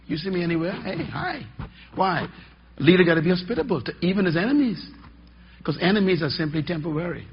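Husky, emphatic preacher man. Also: on the high side, in a large hall. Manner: emphatic, call-and-response questions, swings loud and soft. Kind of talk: sermon to a live audience. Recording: dull lo-fi sound.

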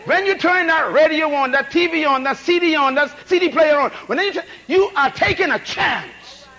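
Man preaching in a really high voice, pitch jumping all over, repeats himself and asks the room to talk back. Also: animated, normal speed. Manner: call-and-response, rhetorical questions, emphatic repetition; swings between shouted emphasis and low asides. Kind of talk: sermon.

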